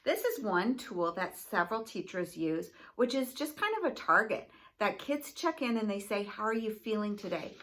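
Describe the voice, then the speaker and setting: A woman's voice: medium pitch, lively intonation, moderate pace, few pauses, fairly bright tone, a little hiss or hum. A woman educator explaining things to families and children in simple, encouraging, teacherly phrases. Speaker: school educator.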